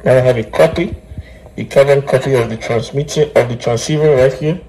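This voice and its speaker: A man explaining step by step in a halting way, as host of a hands-on electronics tutorial. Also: medium pitch, warm tone, poor audio. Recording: clear background noise with clicks.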